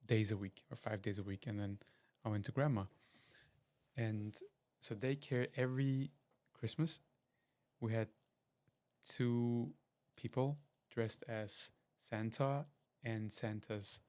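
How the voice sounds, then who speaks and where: A man's voice: fairly low pitch, a little mellow. A man from Switzerland, hosting a podcast and chatting in a rambling way with lots of fillers and restarts. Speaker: podcast host. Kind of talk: casual solo podcast intro.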